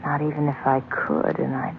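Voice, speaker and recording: An actress playing a seductive, scheming woman in a 1940s radio drama, with a breathy, purring way of flirting. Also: deep voice, monotone, moderate pace, background noise. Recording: tinny 1940s radio broadcast.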